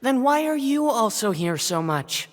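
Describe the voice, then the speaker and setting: A woman's voice: on the high side, big pitch swings, a little slow, long reverb tail, over loud music. An actress playing a young female courier in a video game, casual, evasive and unbothered.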